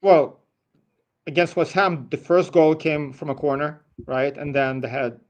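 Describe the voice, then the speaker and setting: A man with a slightly high voice chats as a guest on a football phone-in. He argues in a casual, matter-of-fact way, hedging as he goes. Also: steady tone, a little slow. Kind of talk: casual football debate chat.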